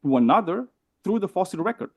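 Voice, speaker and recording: A man with a Romanian accent arguing in a heated debate, animated and repeating words, often asking "right?". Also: sits high, fast talker.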